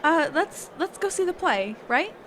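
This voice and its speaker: A woman playing a sheltered, curious mage teacher in a conversational roleplay audio drama, halting and often correcting herself. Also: sits high, very animated, noisy background. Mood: flustered, curious. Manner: halting, hesitant, trailing off and self-correcting.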